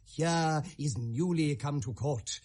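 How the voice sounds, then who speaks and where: An actor with a British accent playing a foppish, obsequious young courtier in a classical radio drama, with affected, tittering delivery. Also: male voice, on the high side, takes it slowly, a little mellow. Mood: fawning, eager. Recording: 1970s radio drama recording.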